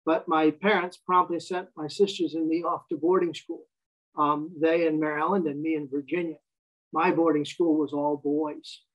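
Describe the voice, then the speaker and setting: A man's voice: fairly high pitch, little pitch movement, moderate pace, highs cut off. An American man in his fifties, a guest telling his life story in a wry, self-deprecating way. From United States. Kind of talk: casual interview conversation.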